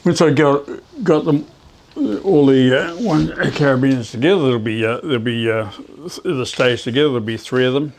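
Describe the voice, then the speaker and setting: A man giving a casual hands-on how-to as a hobbyist, talking haltingly as he works with his hands. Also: mid-range voice, a little mellow, slight background noise, poor audio. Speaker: ham radio hobbyist presenting DIY tips.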